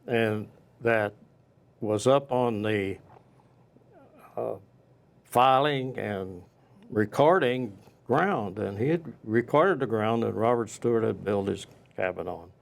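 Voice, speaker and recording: A man speaking as a guest local historian in an interview, recounting the past off the cuff in a halting way with pauses mid-sentence. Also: lowish voice, gravelly.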